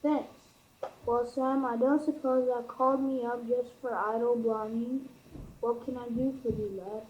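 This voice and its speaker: A woman's voice: on the high side, fairly even intonation, a little slow, slight background noise, close to an everyday voice. A young woman in an amateur radio play acting a folksy, friendly King who speaks Yorkshire dialect. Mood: chummy. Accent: Yorkshire dialect, acted. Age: teenager. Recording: muffled amateur recording.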